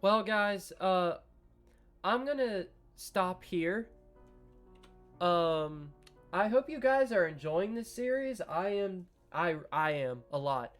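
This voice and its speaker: A man casually playing through a visual novel, reading lines aloud and cracking self-mocking jokes with swearing and sudden loud laughs. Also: high-pitched, lively intonation, slow, soft background music. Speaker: gaming YouTuber. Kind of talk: casual let's-play commentary with read-aloud narration.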